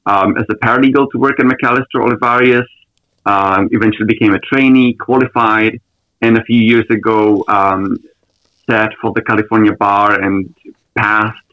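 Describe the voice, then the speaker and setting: Low-voiced man answering thoughtfully. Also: fairly even intonation, normal speed, no room sound, highs cut off. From Czech Republic. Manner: thoughtful, many ums and restarts. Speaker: lawyer, guest. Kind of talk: podcast interview answers.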